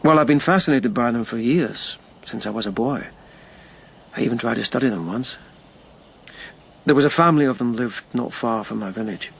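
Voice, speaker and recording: A reserved, thoughtful police lieutenant of ancient Japan. His voice is mid-pitched and husky. He tells things reflectively, in short halting phrases, in a naturalistic radio drama style.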